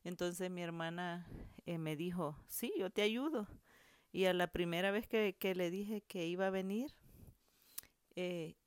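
A middle-aged woman from El Salvador, telling her migration story to her daughter with many pauses and repeated words. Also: fairly low pitch, clear, resonant tone, dry recording. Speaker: interviewee, mother. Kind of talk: personal storytelling interview.

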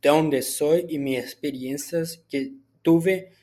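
A man from Brazil, a student whose first language is Portuguese, speaks Spanish with a Brazilian Portuguese accent as he answers set questions for a school assignment, often restarting words and filling pauses with "ah". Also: a little high, warm tone. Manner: halting, with frequent restarts and filler "ah".

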